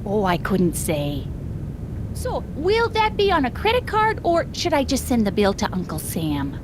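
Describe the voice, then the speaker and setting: An actress playing a chirpy, folksy small-town hotel keeper with a Minnesotan accent in an animated game. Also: female voice, on the high side, unhurried, background noise. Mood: nonchalant. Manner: folksy, breezy.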